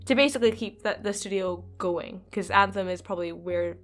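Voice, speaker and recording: A young woman co-hosting a casual gaming chat, her voice going hoarse, talking thoughtfully with hesitations. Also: mid-range voice, fairly quick. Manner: thoughtful, hesitant, laughs often. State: losing her voice. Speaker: gaming channel co-host. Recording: faint background music.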